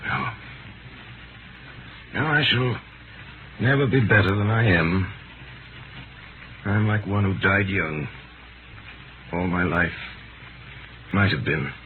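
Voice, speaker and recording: Ruined romantic gentleman, very low voice, solemn and halting, vintage radio drama with clear background noise. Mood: anguished confession. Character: Sydney Carton, dissolute despairing man, tenderly self-abasing. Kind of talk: 1930s radio drama acting. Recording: noisy tinny 1930s radio broadcast.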